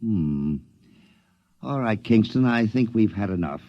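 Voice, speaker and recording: An actor playing a brisk, skeptical Secretary of State, curt and dryly sardonic, in a 1950s radio drama. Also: male voice, fairly low pitch, lively intonation. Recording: lo-fi 1950s radio broadcast, dry studio.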